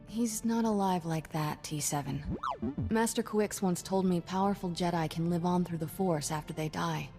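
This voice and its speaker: An actress playing a brisk, skeptical young Jedi companion in a video game. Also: female voice, on the low side, music in the background, close to an everyday voice. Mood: skeptical, curious. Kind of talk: video game cutscene acting.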